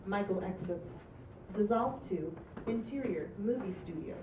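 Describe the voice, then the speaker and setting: A woman's voice: mid-range voice, animated, unhurried. A woman reading script stage directions aloud at an amateur table read. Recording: muffled, echoey, distant, noisy mic.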